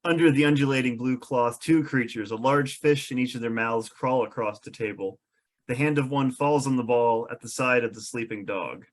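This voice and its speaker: A man's voice: mid-range voice, normal speed. Man narrating script directions in a medium voice. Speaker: narrator reading stage directions. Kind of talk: scripted narration at a table read.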